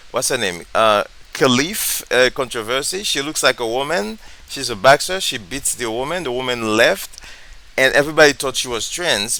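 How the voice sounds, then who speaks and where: Bright-voiced man thinking aloud. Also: medium pitch. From Haiti. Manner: halting, thinking aloud, searching for words. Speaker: political commentary vlogger. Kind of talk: casual reaction commentary.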